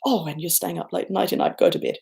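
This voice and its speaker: A middle-aged New Zealand woman talking casually to her viewers in a livestream from home, reading comments aloud and answering as she goes. Her voice sits fairly low and has a gravelly, fried edge. She is chatty and self-deprecating. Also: quick pace, darkish tone. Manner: chatty and self-deprecating, bursts up high when thanking viewers. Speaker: YouTuber hosting a livestream Q&A. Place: home, looking out over a garden and lake.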